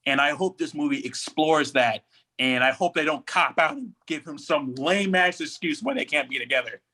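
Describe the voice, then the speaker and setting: A man's voice: a little high, lively intonation, normal speed, sharp tone. A man chatting casually as a podcast co-host, giving his commentary. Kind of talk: casual chat, pop culture commentary.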